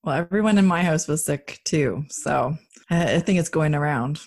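Casual woman co-host for a parenting podcast, lowish voice, loose and chatty. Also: normal speed, a little mellow. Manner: chatty, with frequent fillers and restarts.

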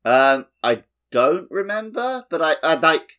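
A man's voice: a little high, sing-song, a little slow, pushed and bright, smooth. A man co-hosting a podcast, chatting casually and conversationally about his memories of playing a game. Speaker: podcast co-host. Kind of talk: casual chat about gaming.

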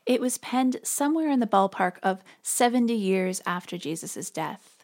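A woman's voice: medium pitch, expressive, moderate pace, darkish tone. A woman podcast host giving conversational religious commentary in an informal, explanatory way.

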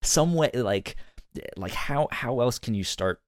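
A man hosting a podcast, chatting casually and haltingly, often repeating words as he works out his thought. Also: medium pitch, animated, no echo, studio quality. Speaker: podcast host. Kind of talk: casual review discussion.

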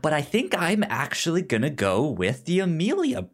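A man's voice: fairly high pitch, wildly swooping pitch, normal speed. A man co-hosting a podcast in casual chat, stammering and often repeating words and syllables. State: short on sleep. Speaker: podcast co-host.

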